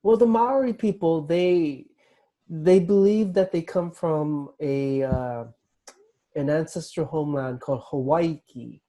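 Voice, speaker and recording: A man explaining cultural traditions in a hesitant way, pausing often with fillers and restarts. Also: a little high, mellow. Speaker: guest explaining Polynesian culture. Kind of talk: casual explanatory talk.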